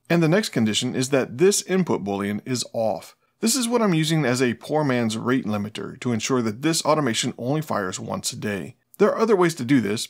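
A middle-aged man, presenting a scripted tutorial in a plain-spoken, explanatory manner. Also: medium pitch. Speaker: host of a smart home tutorial channel.

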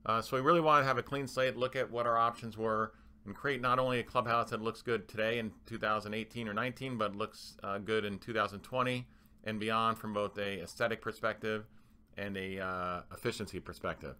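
Male manager for presentation voice-over: bright, forceful, smooth tone, explanatory and somewhat halting. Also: fairly low pitch, fairly even intonation. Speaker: club manager presenting renovation plans.

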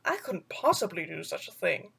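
A reserved male panther from a children's storybook, put on by a woman reading aloud. The voice drops lower than the reader's own and speaks in a measured, skeptical, protesting way. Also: very animated, vocal fry, a bit bright, husky, heavily acted. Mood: stunned, skeptical.

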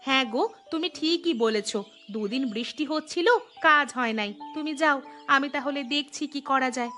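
A woman voicing a caring, gently scolding village wife in a cartoon story. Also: high voice, normal speed, soft background music. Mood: fussing, affectionate. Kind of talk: Bengali cartoon story acting.

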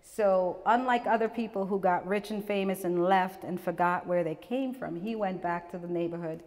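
A middle-aged woman from Guyana giving a relaxed, chatty talk about her portraits, laughing often and restarting her sentences. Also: fairly low pitch, normal speed. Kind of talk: gallery artist talk. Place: small gallery room with seated audience, indoors. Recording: long reverb from the room.

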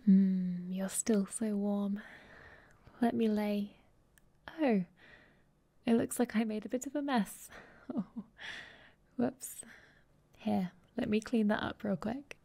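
Slow, creaky, soft vampire girlfriend, acted by a woman. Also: medium pitch. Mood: caring. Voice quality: soft, breathy, often whispered. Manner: slow, tender, teasing. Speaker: ASMR roleplay performer. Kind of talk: intimate ASMR roleplay. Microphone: close microphone.

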